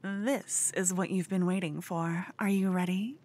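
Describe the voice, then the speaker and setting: A woman playing a cute, obsessed con-woman fangirl in a comedic ASMR roleplay, soft, often whispery, eager and cheekily salesy. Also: lowish voice, steady tone, a little slow. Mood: hopeful, flirty. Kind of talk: ASMR roleplay, comedic one-sided dialogue.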